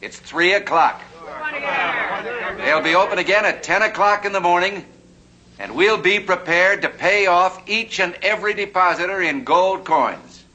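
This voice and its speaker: A man's voice: high voice, moderate pace. A man narrating a vintage TV drama and playing a flamboyant, genial 1860s banker. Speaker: host and actor. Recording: muffled old TV soundtrack with hiss.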